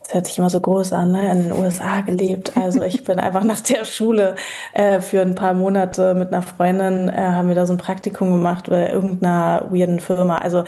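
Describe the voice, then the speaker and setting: A woman in her thirties from Berlin, a guest talking in a relaxed, reflective way with many pauses and fillers. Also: fairly low pitch. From Berlin, Germany. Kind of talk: casual interview chat.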